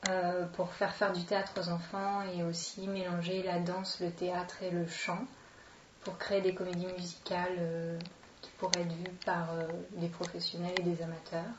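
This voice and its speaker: A woman's voice: on the low side, monotone, moderate pace. French woman late 20s, thinking aloud in an interview, bit far from the mic, roomy. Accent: French, from France. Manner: informal, hesitant, thinking aloud. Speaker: interviewee, actress and children's entertainer. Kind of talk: casual spoken self-introduction. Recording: roomy, dull, distant microphone, noisy.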